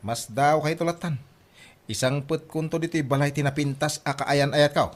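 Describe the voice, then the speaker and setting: A man's voice: fairly high pitch, steady tone, normal speed, lightly acted. A man playing a cocky, lovestruck grown son in an Ilocano radio comedy drama. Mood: smitten, boastful.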